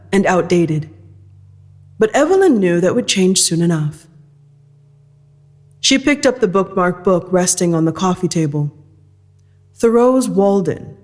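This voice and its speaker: A woman reading scripted dramatic fiction as narrator, in a measured, deliberate manner. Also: on the low side, a little mellow.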